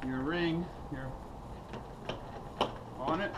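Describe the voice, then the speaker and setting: Man talking over loud outdoor noise. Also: on the high side, very slow. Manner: relaxed, thinking aloud while working. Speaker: kayak fishing vlogger. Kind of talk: casual how-to narration. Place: outdoors in a gravel storage yard.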